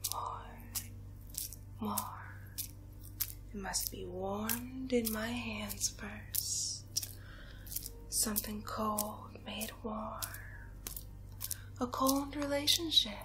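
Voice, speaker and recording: Woman playing a very slow, whispering vengeful witch. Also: on the high side. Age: young adult. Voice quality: breathy, often whispered. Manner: slow, deliberate, soothing yet menacing. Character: sly, vengeful young witch brewing a hex. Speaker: ASMR roleplay performer. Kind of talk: ASMR roleplay, soft whispering and murmuring. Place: small bedroom, indoors.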